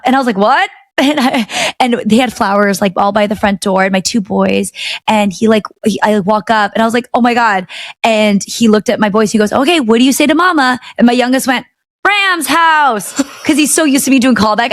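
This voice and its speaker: Woman, thirties, slightly high voice, chatty and excitable, dry close sound. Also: no room sound. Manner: chatty, excitable, many restarts and fillers. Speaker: podcast guest. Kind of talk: casual chat.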